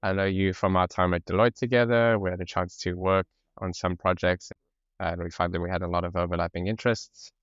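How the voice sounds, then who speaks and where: A man's voice: low-pitched, little pitch movement, a little fast, smooth, dry recording, highs cut off. A man with a mixed French and South African accent, talking as a podcast guest in an easygoing way, often laughing. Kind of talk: casual conversational interview.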